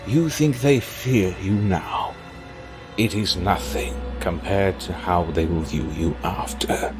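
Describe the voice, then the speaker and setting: A man's voice: low-pitched, music in the background. An actor playing a sly, theatrical immortal man in an audio drama, drawling and teasing. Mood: sly, ominous. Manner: languid, teasing, drawn-out.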